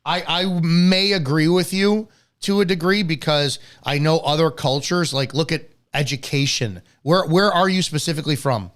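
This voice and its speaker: A man's voice: on the high side, moderate pace, fairly bright tone. An American man hosting a live talk show in a blunt, profane conversational manner. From United States. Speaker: host of a law enforcement talk show.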